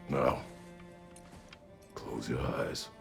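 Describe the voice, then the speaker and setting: A gravelly stern warrior father. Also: flat delivery, over music, heavily acted. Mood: stern, gentle. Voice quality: gravelly, very deep. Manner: slow, terse, commanding. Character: gruff stern warrior father, aged, few words. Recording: game score behind.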